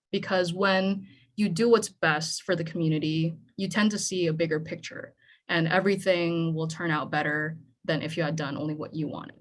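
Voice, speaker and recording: Earnest young woman panel speaker. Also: on the low side. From Philippines. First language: Tagalog. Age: young adult. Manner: earnest, conversational, with frequent "right?". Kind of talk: speech at a panel event.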